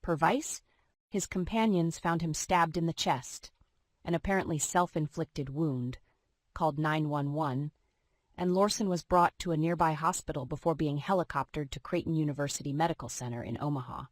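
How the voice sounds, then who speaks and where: A woman with a low voice reads scripted true-crime narration like a news report. The sound is dry, with no room echo. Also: moderate pace.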